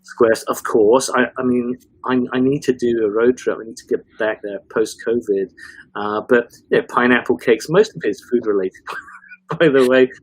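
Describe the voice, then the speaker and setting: A man's voice: mid-range voice, normal speed, breathy edge, no echo, poor audio. A man with an English accent with some northern vowels, chatting casually as a guest and wandering through nostalgic stories with many restarts. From grew up in a British Forces family in Germany. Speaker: podcast guest. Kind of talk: casual interview chat.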